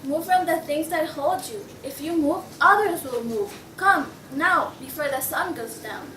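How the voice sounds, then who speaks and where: A woman's voice: high voice, a little slow, sharp tone, recorded from a distance. A woman playing an earnest, idealistic journal worker, reading in a declamatory way at a table read of a stage play. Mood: earnest, pleading. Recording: echoey room from afar, clear noise.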